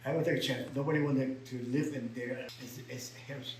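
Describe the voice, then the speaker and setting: An older man from Vietnam with a Vietnamese accent tells his life story in halting, broken English full of repeats, sometimes rising to emphatic outbursts. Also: mid-range voice, steady tone, echoey room, a little hiss or hum, low-quality recording. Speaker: interviewee. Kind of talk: personal storytelling.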